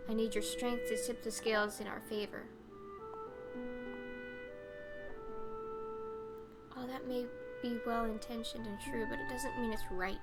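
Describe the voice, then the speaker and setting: A woman voicing an earnest, solemn young goddess-reborn heroine in a video game, measured and slightly halting. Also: fairly high pitch, close to an everyday voice. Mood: apologetic, resolute. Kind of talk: video game dialogue read-aloud. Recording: music behind the voice.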